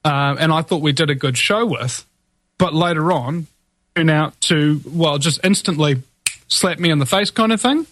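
A man's voice: medium pitch, gravelly, fairly bright tone, no room sound. Defiant whiny male show host. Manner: defiant, ranting, many fillers and restarts. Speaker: podcast host. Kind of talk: solo monologue.

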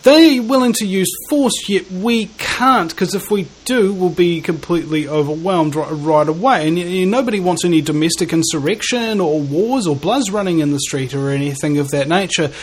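A New Zealand man with a fairly high voice hosts a relaxed conversation. He muses his way through ideas, pausing and searching for the right words as he goes. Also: breathy edge. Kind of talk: casual conversation.

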